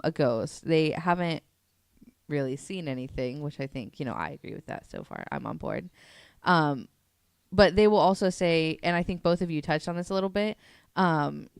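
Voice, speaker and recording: A woman's voice: sits low, vocal fry, smooth, dry recording, studio quality. A woman presenting a topic on a casual podcast, chatty and self-deprecating, with lots of fillers.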